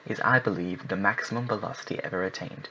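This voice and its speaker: A man voicing a solemn older gun club president who speaks in a measured, formal way. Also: deep voice, pushed and bright. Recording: muffled with faint hiss.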